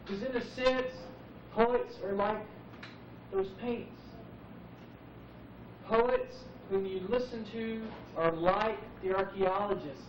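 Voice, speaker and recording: Muffled noisy live recording, echoey room, man in his forties, reflective spoken word, unhurried dramatic pauses. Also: high voice, far from the mic. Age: 40s. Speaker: poet speaking at an open mic. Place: live open mic venue, roomy.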